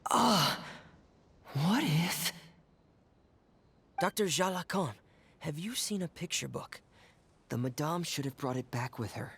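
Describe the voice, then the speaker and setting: An actor playing a quiet, introverted young man in a video game, speaking softly and deliberately with a gentle, breathy voice. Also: fairly high pitch, animated, a little hiss or hum, exaggerated voice. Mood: earnest. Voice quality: soft, breathy. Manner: hesitant, hushed, deliberate.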